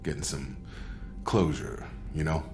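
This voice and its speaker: Very deep-voiced male soldier. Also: a little slow, a little mellow, soft background music. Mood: sober, reflective. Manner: casual, clipped, plainspoken. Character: loyal, reflective male soldier crewmate. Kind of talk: dramatic video game dialogue.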